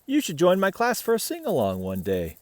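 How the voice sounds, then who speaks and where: A man voicing a kind, animated squirrel schoolteacher lady. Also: high voice, a little fast, dry recording. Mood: inviting.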